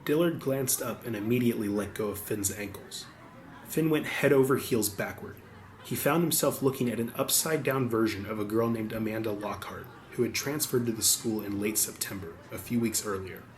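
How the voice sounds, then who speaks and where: A man's voice: on the low side, normal speed, a little hiss or hum. A man reading scripted audiobook narration as the narrator.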